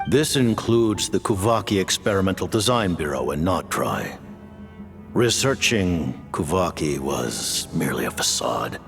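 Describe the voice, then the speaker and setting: Stern, formal commander; very low and gravelly, wide swings, soft score behind. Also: male voice, very animated, unhurried, full character voice. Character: stern, formal highest-ranking male commander. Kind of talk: video game voice acting. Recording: game audio with music.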